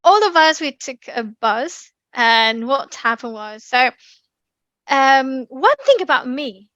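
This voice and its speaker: A young woman with an Indonesian accent, giving a lively online class talk with many ums and restarts. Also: high voice, takes it slowly, no echo, dull audio. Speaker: community speaking presenter. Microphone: computer mic on a video call.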